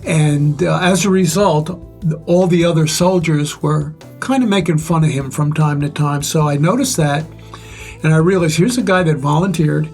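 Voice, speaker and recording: An older man with a relatively loud voice, reflectively sharing life stories and advice in measured, pause-filled speech. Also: on the high side, faint music behind. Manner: measured, pausing often with "uh". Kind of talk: reflective conversational storytelling.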